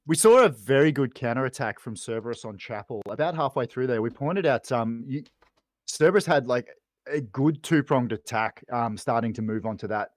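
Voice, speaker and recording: A man's voice: medium pitch, animated, moderate pace, no echo, clean studio sound. An Australian man chatting casually as a guest esports co-commentator, with a matey, jokey, informal way of talking. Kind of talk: casual post-match esports interview chat.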